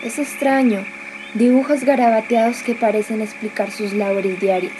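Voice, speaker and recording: A woman's voice: a little high, steady tone, normal speed, natural voice. A woman playing an awed explorer in a student radio drama, narrating discoveries with wondering questions and exclamations. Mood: curious, puzzled. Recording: noisy amateur recording.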